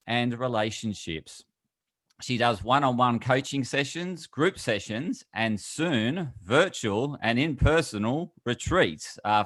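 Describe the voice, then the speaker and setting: A man's voice: medium pitch, fairly bright tone, dry recording. A middle-aged man speaking conversationally as an interview guest and wellness coach.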